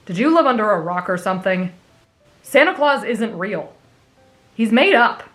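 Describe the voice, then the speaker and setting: A woman's voice: medium pitch, lively intonation, normal speed, fairly bright tone, faint music behind, pitched below the performer's own voice, lightly acted. A woman voicing an anxious, scheming teenage boy with a petulant, exasperated manner, in an anime fan roleplay. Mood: dismissive.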